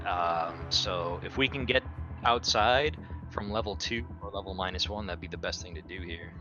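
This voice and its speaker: A man's voice: deep voice, normal speed, highs cut off, music in the background. A man playing a tabletop roleplaying game, bluntly planning aloud in casual, sometimes profane talk. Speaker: player.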